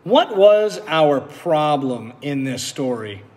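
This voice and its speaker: A man's voice: fairly high pitch, sing-song, slow pace, darkish tone. A man speaking as a teacher to young children, in the style of a read-aloud lesson. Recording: echoey room with long reverb.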